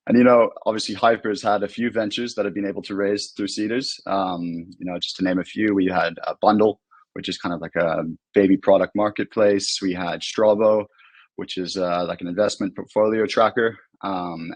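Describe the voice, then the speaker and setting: Low-voiced man hosting a webinar. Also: breathy edge, no room sound. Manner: loose, filler-heavy questioning. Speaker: webinar host. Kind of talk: webinar interview.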